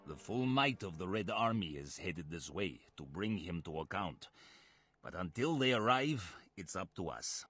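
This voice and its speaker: Low-voiced disillusioned Soviet soldier. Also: male voice, very animated, normal speed, husky, no room sound, full character voice. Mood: resolute. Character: disillusioned male Soviet soldier, grave, quietly defiant. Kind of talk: scripted dramatic game narration and dialogue. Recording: loud music behind.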